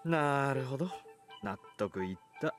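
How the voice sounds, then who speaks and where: A man's voice: medium pitch, lively intonation, fairly quick, soft background music, natural voice. An actor playing a level-headed, wry young man, casual and skeptical, in a Japanese visual novel. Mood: resigned.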